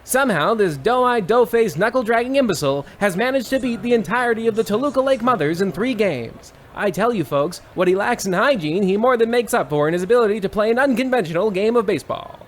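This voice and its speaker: A man playing an excitable, folksy old-time radio baseball announcer with theatrical, exclamatory patter. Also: high-pitched, fast talker, few pauses, slight background noise. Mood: folksy showmanship. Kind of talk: 1940s radio sports commentary parody.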